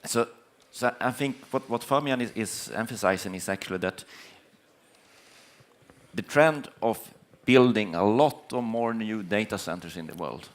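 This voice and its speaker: A man's voice: on the low side, a little slow. A man speaking earnestly and emphatically in an unscripted panel discussion, in a conciliatory way. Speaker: panelist, energy company chief executive. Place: conference stage panel.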